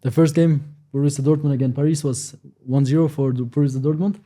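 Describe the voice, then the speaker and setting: A man's voice: a little high, steady tone, fast talker, mellow. A man from Switzerland, a panel guest, playful and teasing.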